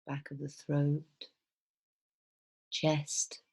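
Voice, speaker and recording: Female meditation guide, low and breathy, soft and soothing, very unhurried delivery with long pauses. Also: completely flat delivery. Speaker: mindfulness teacher. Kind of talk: guided body scan meditation.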